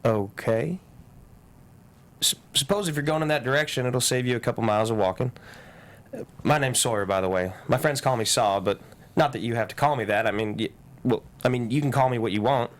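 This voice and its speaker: A man's voice: mid-range voice, lightly acted. Male actor as polite, shy small-town boy of eighteen, hesitant stammer, drama table read. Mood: awkward, polite. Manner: halting, stammering, bashful.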